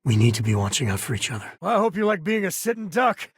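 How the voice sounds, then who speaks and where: An easygoing American spacefarer and former ranger for a video game. His voice is strongly husky and rough-edged, with a folksy, wry drawl. He sounds like a middle-aged man, recorded very dry. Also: fairly high pitch, very animated, fast, dry recording, full character voice. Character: easygoing, wry male space explorer and ex-ranger, loyal father. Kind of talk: video game voice acting, naturalistic dialogue lines.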